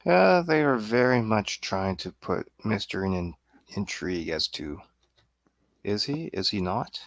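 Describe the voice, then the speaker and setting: A man chatting casually as a guest reviewer, giving brief, low-key replies. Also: lively intonation, unhurried, no echo. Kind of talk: casual chat.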